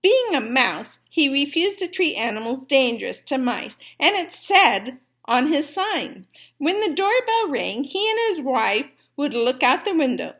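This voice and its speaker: A woman with a high voice reads aloud for children at a slow pace. She is deliberate and explanatory, like a teacher taking a class through a book. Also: big pitch swings, a bit bright. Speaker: story reader and teacher.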